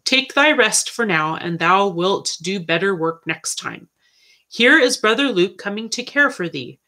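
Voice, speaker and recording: A woman voicing a patient, kindly monk carpenter in a storybook, with measured, archaic speech. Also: medium pitch, animated, pushed and bright. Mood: comforting.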